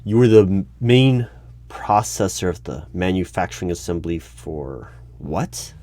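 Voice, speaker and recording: A man playing an awkward, officious hiring manager in an ASMR interview roleplay, speaking formally with halting stammers and over-polite phrasing. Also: low-pitched, background noise. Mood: formal, inquisitive. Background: computer keyboard typing.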